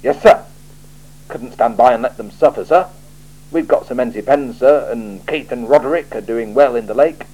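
Man reading as a blunt male watch commander, clipped deadpan answers, mellow voice. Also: mid-range voice, moderate pace, pitched above the performer's own voice, close to an everyday voice, low-quality recording. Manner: clipped, deadpan, emphatic replies. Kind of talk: audiobook character voice.

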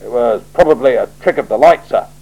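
A blunt, wry male watch commander, as a man reading aloud puts him on. His short deadpan replies land with emphasis, in a mellow voice that swings widely in pitch. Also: a little fast, vocal fry, poor audio. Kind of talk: audiobook character voice.

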